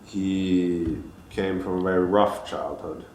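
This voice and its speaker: A deep-voiced man in his thirties from Finland, a native Swedish speaker, answering interview questions in a calm, level tone. He talks in a relaxed, reflective way and restarts his sentences. The lapel mic picks up a roomy echo with a faint hum of ambience. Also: takes it slowly. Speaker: guest. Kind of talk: casual interview talk. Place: small studio room, seated in armchair.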